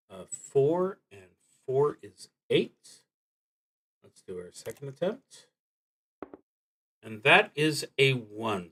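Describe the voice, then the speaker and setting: A man thinking aloud through a solo tabletop roleplaying game, reading prompts and musing hesitantly with long, thoughtful pauses. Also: on the low side, a bit bright, poor audio.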